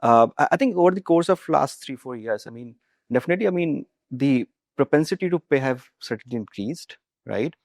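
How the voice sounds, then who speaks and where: A man's voice: mid-range voice, expressive, brisk, creaky, no room sound, clean studio sound. A young man with an Indian accent answering as a founder in a business interview, often tagging statements with a checking question. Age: young adult. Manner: repeatedly tags statements with "right?". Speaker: startup founder, guest.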